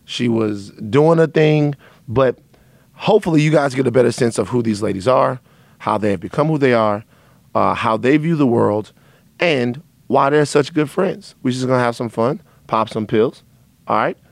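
A man hosting a podcast, talking candidly in a loose, rambling way with a raspy voice from a dry throat. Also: mid-range voice, no echo. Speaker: podcast host. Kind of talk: casual monologue to listeners.

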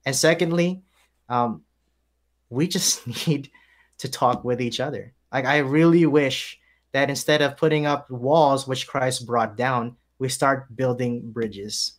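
A man's voice: medium pitch, fairly even intonation, slow pace. A man who is a pastor, speaking emphatically in a panel discussion. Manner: emphatic, preacherly.

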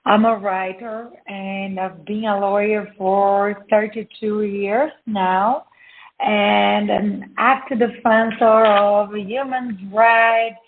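A Brazilian woman in her fifties with a Brazilian Portuguese accent, speaking carefully as a guest, often pausing with "uh" to find her words. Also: mid-range voice, flat delivery, a little hiss or hum. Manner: careful, hesitant with frequent "uh" pauses. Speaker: guest author. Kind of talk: interview conversation. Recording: phone-like narrow audio.

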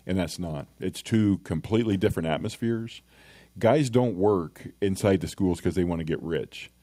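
A middle-aged man with a deep voice, a school resource officer, telling stories in a plainspoken, earnest way in a casual podcast interview. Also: moderate pace, warm tone, dry recording.

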